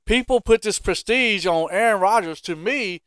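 A man's voice: sits high, moderate pace, a bit bright, smooth, no echo. An American man hosting a sports podcast, debating casually and insistently with pointed rhetorical questions. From Carolina, United States.

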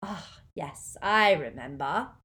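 A woman reading aloud and voicing a cold, gloating male dark wizard with a soft, silky, hissing menace. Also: low voice, expressive, slow pace, full character voice. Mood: silky, mocking.

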